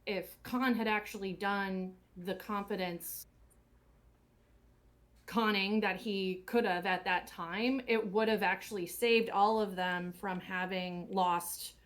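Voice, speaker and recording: A woman's voice: mid-range voice, fairly even intonation, slow. A woman giving casual, reflective commentary in an earnest, motivational, coach-like manner. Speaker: audio drama cast or crew member giving commentary.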